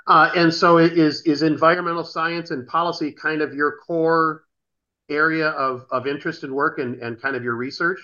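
A man hosting a podcast interview, talking in a halting way with many restarts. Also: on the high side, fairly even intonation, bright, forceful tone. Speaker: podcast host. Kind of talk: interview conversation. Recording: dull lo-fi sound.

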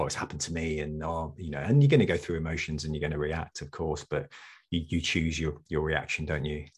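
A man's voice: a bass voice, darkish tone, breathy edge. A man hosting a podcast interview, rambling and affirming in casual chat. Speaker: podcast host.

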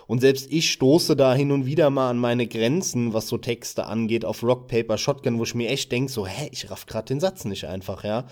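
A German-speaking man co-hosting a podcast, chatting about gaming websites in a relaxed, casual way with a few filler sounds. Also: mid-range voice, moderate pace, hardly any pauses. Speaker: podcast co-host.

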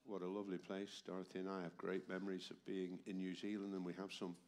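A man's voice: low-pitched, little pitch movement, fast talker, a little mellow. A man in his seventies preaching a sermon to a congregation, with a voice tired from a cold and a habit of asking the room rhetorical questions. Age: 70s. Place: church hall with a congregation. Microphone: amplified microphone.

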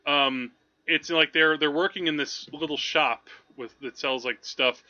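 Bright, higher-voiced man, chatting casually. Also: steady tone, a little slow, highs cut off. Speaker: film podcast host. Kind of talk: casual film discussion.

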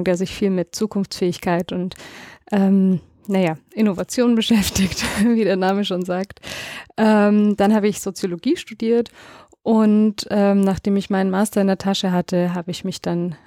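Woman podcast guest, hesitant speech, mellow tone, dry sound. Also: medium pitch, dry recording. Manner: hesitant, many fillers, warm. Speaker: guest, project manager. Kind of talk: self-introduction in an interview.

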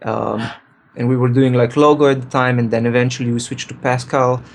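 A man from Slovenia, a programmer, answering interview questions in a relaxed way, thinking aloud and correcting himself. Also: medium pitch, fairly quick, gravelly, slight background noise. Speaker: programmer and guest.

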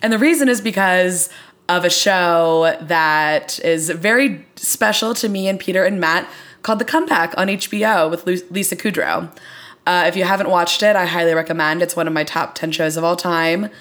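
Low-voiced young American woman hosting a podcast. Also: normal speed, a bit bright. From Florida, US. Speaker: podcast host. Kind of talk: casual podcast chat. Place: in a studio.

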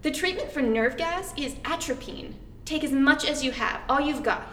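Bossy nerdy sweetheart girl played by a woman, high voice, comedy sketch delivery. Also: animated, moderate pace, a bit bright. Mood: tender, relenting.

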